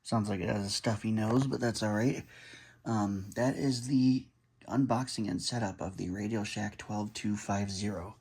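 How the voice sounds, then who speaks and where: A man's voice: fairly low pitch, normal speed, darkish tone, slightly rough. A man doing casual, hands-on narration of a gadget setup, thinking aloud as he reads items off and comments on them. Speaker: vlogger demonstrating a gadget.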